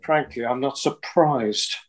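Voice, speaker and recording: An actor playing a polite, exasperated county football official, formal and tactful, on a video call. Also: male voice, mid-range voice, measured pace, lightly acted. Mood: dry, exasperated. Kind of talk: filmed comedy acting on a video call.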